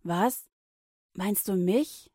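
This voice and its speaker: A woman's voice: medium pitch, extremely slow, mellow, breathy edge, dry recording, clean studio sound. A woman voicing a troubled, frightened male disciple who protests his denials in a flustered way. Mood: denying.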